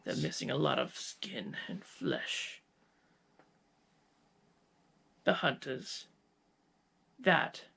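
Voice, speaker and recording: Guy doing a smug evil scientist guy, really husky and creaky, slow menacing delivery, asmr roleplay monologue. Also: fairly high pitch, a bit bright, exaggerated voice. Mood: smug, lecturing. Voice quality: gravelly, husky. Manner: deliberate, menacing, theatrical pauses. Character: cold, gloating male scientist villain with superhuman powers.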